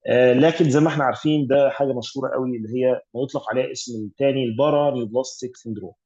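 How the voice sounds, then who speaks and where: A fast-paced Egyptian man talks through exam cases with many pauses and fillers. His voice is dark and mellow with a breathy, husky edge. The sound comes through a dull, lo-fi call line. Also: medium pitch, fairly even intonation. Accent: Egyptian Arabic. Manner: explanatory, mixes English medical terms, many fillers. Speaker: radiology tutor. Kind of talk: online exam revision teaching.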